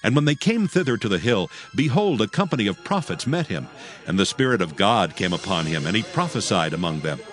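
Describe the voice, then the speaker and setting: A man narrating dramatized scripture as a solemn, measured storyteller. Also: on the low side, sing-song, faint music behind.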